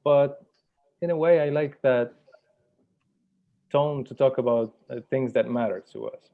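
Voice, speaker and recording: Male facilitator, measured and deliberate, creaky voice, slightly dull online-call sound. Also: medium pitch. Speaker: workshop facilitator. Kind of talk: guided meditation and workshop facilitation. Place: virtual workshop over video call.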